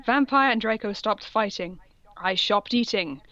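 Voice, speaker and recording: A woman's voice: medium pitch, measured pace, bright, forceful tone, dry recording, muffled recording. A woman co-hosting a comic reading of fan fiction, narrating mock-seriously and giggly, with asides. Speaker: co-host.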